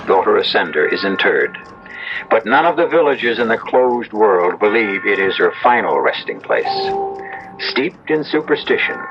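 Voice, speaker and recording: Spooky radio host narrator, low voice, wry and measured, muffled old recording. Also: male voice. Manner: measured, theatrical, ominous. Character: ominous, wry male horror host narrator. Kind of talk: scripted radio horror narration.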